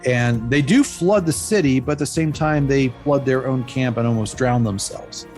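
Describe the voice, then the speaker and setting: A man's voice: mid-range voice, moderate pace, darkish tone, soft background music. A man speaking as a history expert, explaining events in an informal, plain-spoken storytelling way. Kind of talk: conversational explanation.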